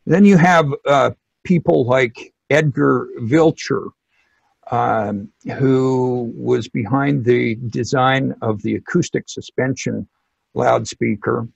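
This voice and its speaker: Slow, gravelly middle-aged man, halting. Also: mid-range voice, fairly even intonation, no room sound, highs cut off. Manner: halting, hesitant delivery with many pauses. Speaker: loudspeaker company technical director, interview guest. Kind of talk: informal interview explanation.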